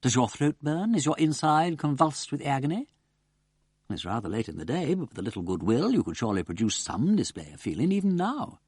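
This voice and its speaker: A sardonic upper-class gentleman detective, as a man puts him on, drawling and mocking, his pitch leaping high and swooping back down. The voice is husky, and the recording is close and dry. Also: big pitch swings, brisk, no room sound.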